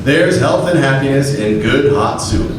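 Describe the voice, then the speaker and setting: A man's voice: mid-range voice, animated, a little slow. A man delivering a formal, declamatory old-time radio announcement. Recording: echoey room from far off, noisy.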